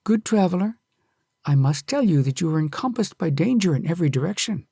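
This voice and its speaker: Kind, fearful farm wife, a storyteller's put-on voice, raspy and dry. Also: medium pitch, animated, brisk, no echo, pitched above the performer's own voice. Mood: kindly urgent. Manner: gentle, warning, then pleading.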